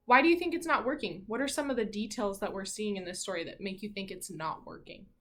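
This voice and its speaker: A woman teacher reading a picture book aloud to children in an expressive, encouraging way, pausing to ask her listeners questions. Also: mid-range voice, slight background noise.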